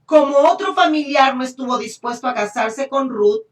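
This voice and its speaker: A woman's voice: high voice, expressive, normal speed. A woman with a Honduran Spanish accent preaching a Bible lesson, emphatic, repeating phrases and checking in with rhetorical questions. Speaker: preacher teaching a congregation. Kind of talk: sermon, Bible teaching. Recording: echoey room, distant microphone.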